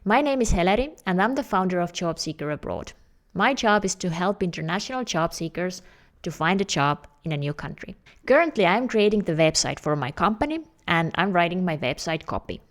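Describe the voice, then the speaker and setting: A woman in her thirties with an Estonian accent, a job search coach talking straight to camera in an earnest, explanatory way. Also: lowish voice. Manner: earnest, explanatory, with non-native phrasing. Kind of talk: direct-to-camera advice video.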